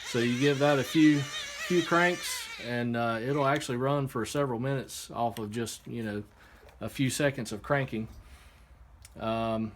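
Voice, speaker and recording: Halting male reviewer over clear background noise. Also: medium pitch. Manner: halting, unscripted, explaining step by step. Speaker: product reviewer. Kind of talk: casual hands-on unboxing and demonstration. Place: indoor workshop.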